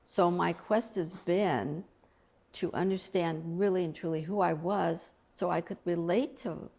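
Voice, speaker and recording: A woman's voice: on the low side, steady tone, unhurried, a little mellow. A middle-aged woman, an author from Colombia, talking in an earnest, reflective way about faith in an interview.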